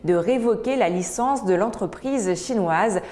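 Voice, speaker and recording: A woman anchoring a news bulletin, reading in a steady, formal way. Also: medium pitch, unhurried. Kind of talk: scripted news narration.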